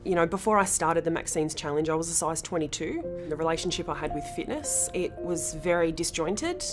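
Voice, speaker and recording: Aussie woman telling her story straight up, really low voice, music playing behind. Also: expressive, a little fast. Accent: Australian. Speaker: fitness challenge participant. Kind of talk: personal testimonial. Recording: clear background music.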